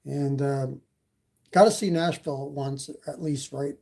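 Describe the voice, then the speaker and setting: A man's voice: medium pitch, fairly even intonation, measured pace, vocal fry, mellow. A man from Canada with a slight Canadian accent, hosting a casual e-bike live stream and chatting with viewers, with lots of fillers. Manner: rambling, many fillers, reads chat. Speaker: e-bike channel host.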